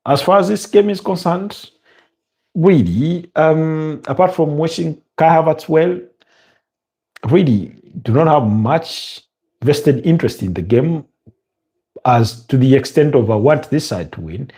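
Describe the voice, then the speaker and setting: Mellow-voiced Ugandan man hosting a watch-along. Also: on the high side, measured pace. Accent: Ugandan English. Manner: relaxed, conversational, many ums and uhs. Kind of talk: casual live watch-along chat and match commentary.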